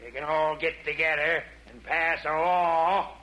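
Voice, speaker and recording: Casting: folksy Southern US schemer, unhurried, piercing tone, vintage 1940s radio villain. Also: male voice, on the high side, bright, forceful tone, poor audio. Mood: folksy, scheming. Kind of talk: 1940s radio comedy acting. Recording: old 1940s radio broadcast.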